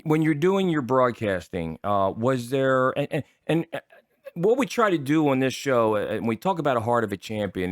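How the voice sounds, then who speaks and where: An American man hosting a casual interview, asking rambling questions in a halting, searching way. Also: lowish voice, no room sound, clean studio sound. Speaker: show host, interviewer.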